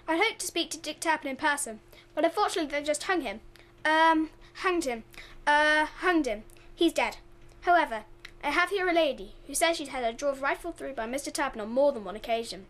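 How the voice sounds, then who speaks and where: A high voice of a young woman plays an earnest roving reporter. The delivery is chatty, in the style of a mock news report in a radio play. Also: fairly quick, fairly bright tone. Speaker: drama student.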